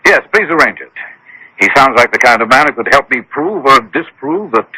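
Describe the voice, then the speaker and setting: A man's voice: medium pitch, animated, fairly quick, bright, forceful tone, slightly rough, no echo, heavily acted, low-quality recording. An actor playing a wealthy, anxious, defensive man obsessed with the occult, insistent and dramatic, in radio drama style. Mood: skeptical. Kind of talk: 1980s radio drama acting. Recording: old radio drama broadcast.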